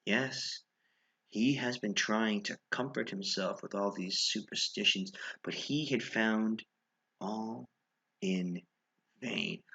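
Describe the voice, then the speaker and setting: A gothic horror storyteller played by a man, a nervous, gloating killer losing his mind. His low, raspy voice delivers the tale slowly and theatrically, moving between whispers and frantic outbursts. The recording is slightly muffled. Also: pushed and bright, exaggerated voice. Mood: hushed, creeping.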